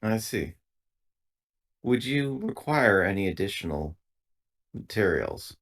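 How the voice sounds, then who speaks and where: A man playing a polite, formal synthetic crew assistant in a tabletop game, speaking in a measured, halting way. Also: on the low side, big pitch swings, fairly bright tone, heavily acted.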